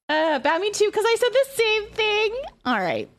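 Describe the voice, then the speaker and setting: A woman's voice: extremely high pitch, big pitch swings, a little slow. A woman chatting casually and playfully as she hosts a livestream and responds to viewers' comments. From Canada. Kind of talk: casual chat, reading and answering viewer comments.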